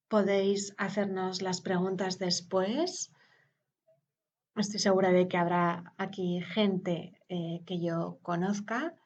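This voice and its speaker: A woman, interpreting a conference talk live, hesitant with pauses and filler sounds. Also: mid-range voice, a little mellow, muffled recording.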